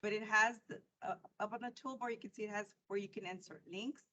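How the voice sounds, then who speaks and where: Hoarse woman presenting on a video call. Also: mid-range voice, steady tone, fairly quick, pushed and bright, slight background noise. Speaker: presenter, middle school math teacher. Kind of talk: presenting a teaching tool in an online training session.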